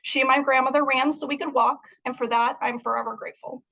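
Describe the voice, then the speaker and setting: A woman's voice: high-pitched, fairly even intonation, fast, creaky, fairly bright tone. A young adult woman from Moldova, giving an earnest, prepared talk about her art over a video call. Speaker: guest artist.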